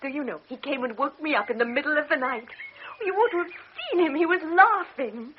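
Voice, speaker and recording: A woman's voice: sits high, lively intonation, brisk. An actress playing an eager young invalid girl pressing for news in a radio drama. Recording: dull old radio recording with loud hiss.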